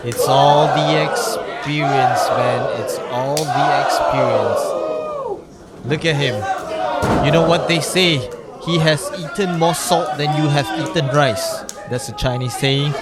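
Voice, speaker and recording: A man's voice: on the high side, fairly even intonation, takes it slowly. A man doing conversational colour commentary on live sport, casual and speculative, given to rhetorical questions. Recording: noisy arena recording with loud crowd. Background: loud wrestling crowd.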